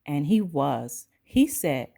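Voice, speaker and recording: A woman's voice: deep voice, very animated, slow, vocal fry, dark tone. A woman reading a picture book aloud to young children as a teacher, acting out its sounds and dialogue expressively.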